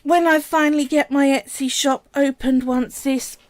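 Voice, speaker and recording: A woman's voice: high-pitched, steady tone, no echo. A woman casually talking viewers through a polymer clay sculpting project on a live stream, chatty and explanatory. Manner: chatty and explanatory, often pausing to say "right" and "so".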